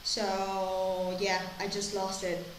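A woman's voice: medium pitch, completely flat delivery, takes it slowly. A woman in her twenties whose first language is not English, giving casual, blunt, sweary reaction commentary. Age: 20s. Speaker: host of TV show reaction videos. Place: small room with a plain wall. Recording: echoey room with clear background noise.

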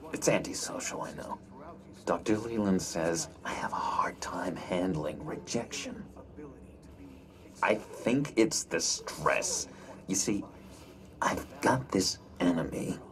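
Unhinged needy criminal, wildly swooping voice. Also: male voice, low-pitched, heavily acted. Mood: sheepish, confiding. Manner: halting, stammering, swinging from pleading to menacing. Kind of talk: video game voice acting.

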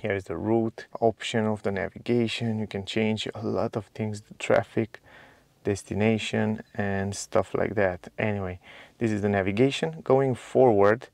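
Gravelly low-voiced man showing a car. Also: fairly even intonation, normal speed, darkish tone, dry recording. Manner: chatty, addresses viewers as guys, simple non-native phrasing. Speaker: car reviewer. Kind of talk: casual walkthrough to camera. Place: inside a parked car.

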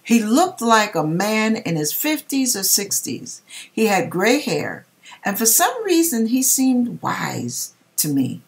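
Slow, expressive middle-aged woman. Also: medium pitch, gravelly. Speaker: vlogger reading a viewer's dream and commenting. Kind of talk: expressive read-aloud with religious commentary. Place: home kitchen.

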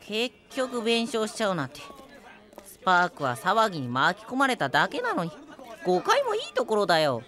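A woman playing a cheeky young minstrel companion in a Japanese audio drama, lively, teasing and encouraging. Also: mid-range voice, moderate pace.

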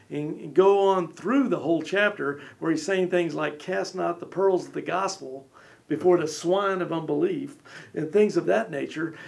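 A man's voice: fairly high pitch, vocal fry. A man in his forties discussing the Bible in a small studio, talking animatedly with lots of gestures and brief acted-out quotes. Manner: gestures a lot, acts out little voices. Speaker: co-host. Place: small studio set with armchairs.

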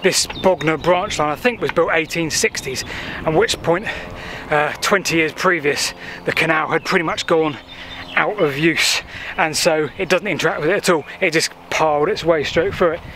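A man's voice: on the high side, moderate pace, bright, forceful tone. A man, explaining local history in a chatty way while walking outdoors with a handheld camera. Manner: chatty, explanatory, with thinking-aloud fillers. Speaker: history vlogger. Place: outdoors by a canal path and beach. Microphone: handheld selfie camera at arm's length. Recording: faint outdoor noise.

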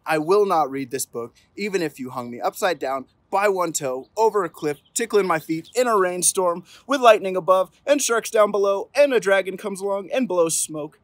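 Playful higher-voiced man reading to kids. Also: expressive, moderate pace, hardly any pauses, no room sound. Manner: playful, theatrical, emphatic build-ups. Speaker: police officer reading at storytime. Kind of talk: expressive children's picture-book read-aloud. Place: outdoors on an open terrace.

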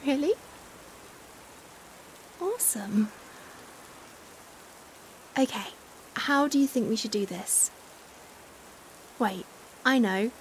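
A British woman playing a caring, fussing young stranger in a chatty, expressive ASMR roleplay. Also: on the high side, slow, pauses often. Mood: coaxing, flustered. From United Kingdom. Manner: chatty, expressive, giggly hesitations. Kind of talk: acted ASMR roleplay, conversational. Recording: hiss and steady rain sound. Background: rain falling.

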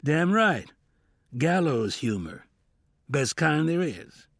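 Man playing a cynical, animated grifter convict. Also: on the high side, slow, vocal fry, no room sound, studio quality, voice pushed up, heavily acted. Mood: gruffly amused, emphatic. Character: scarred grifter convict, cynical, darkly joking cellmate.

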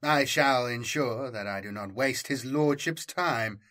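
A man plays a pompous male rogue trader in a science fiction audiobook. He speaks with courtly formality and measured care. The voice is bright and forceful. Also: mid-range voice. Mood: courteous, sly. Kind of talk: audiobook character dialogue.

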